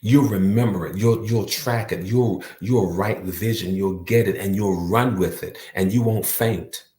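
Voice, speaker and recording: A man's voice: on the low side, a little slow, dark tone, echoey room. A man giving a motivational talk with a repetitive, preacher-like cadence. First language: not French. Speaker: motivational speaker.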